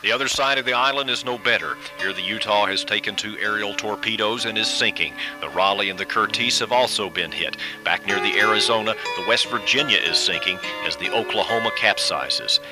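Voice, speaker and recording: A man giving scripted documentary radio narration in a measured, solemn delivery. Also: lowish voice. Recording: radio broadcast with music bed. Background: background music.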